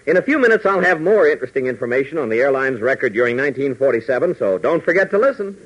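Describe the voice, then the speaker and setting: A man's voice: medium pitch, sing-song, fast talker. A man announcing and narrating a scripted 1940s radio adventure serial with emphatic, formal delivery. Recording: dull, worn 1940s radio recording.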